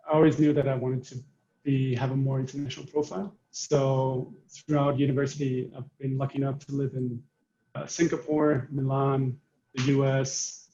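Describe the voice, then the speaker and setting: A Danish man in his thirties answering interview questions about his career, steady with some fillers. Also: mid-range voice. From Denmark. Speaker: marketing director, interview guest. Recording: echoey, dull lo-fi room sound.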